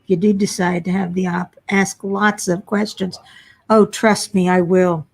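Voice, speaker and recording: An older woman hosting a casual livestream from home, chatting and answering viewers, her voice at times a little low and raspy from a frog in her throat. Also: level tone, measured pace, darkish tone. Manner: chatty, self-deprecating, reads chat names aloud. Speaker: livestream host. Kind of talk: casual chat reading viewer comments. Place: home workspace.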